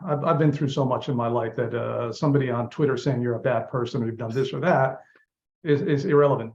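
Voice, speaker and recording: A man's voice: medium pitch, a little mellow. Earnest man on dull remote audio. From Lebanon. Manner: earnest, emphatic, explaining at length. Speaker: campaign manager. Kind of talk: interview guest.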